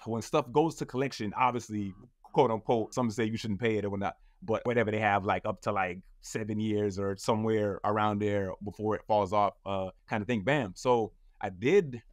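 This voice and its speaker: A man's voice: fairly low pitch, normal speed, no echo, studio quality. A man in his thirties, a guest in a casual interview, telling his story in a loose, rambling way full of fillers. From United States. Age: 30s.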